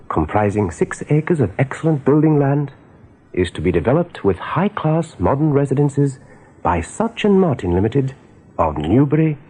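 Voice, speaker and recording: A man giving scripted narration in a radio drama, measured and dramatic. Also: medium pitch, warm tone, slightly rough. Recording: noisy old radio play recording.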